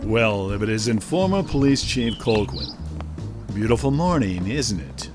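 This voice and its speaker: An actor playing a smug, sly mob lawyer who needles and insinuates, in a radio drama. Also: male voice, fairly low pitch, sing-song, a little slow, over music. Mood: needling. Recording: outdoor ambience with birdsong.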